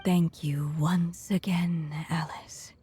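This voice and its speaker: A woman voicing a motherly, dying storyteller witch in a video game, soft, solemn and wistful, with measured poetic delivery. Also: fairly low pitch, fairly even intonation. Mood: wistful farewell. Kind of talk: video game character acting. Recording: prominent music behind the voice.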